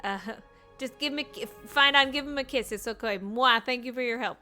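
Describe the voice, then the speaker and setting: A young woman streaming a story game, reacting excitedly, gasping and exclaiming and chatting to a viewer. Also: on the high side, fairly quick, soft background music. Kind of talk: excited live reaction commentary.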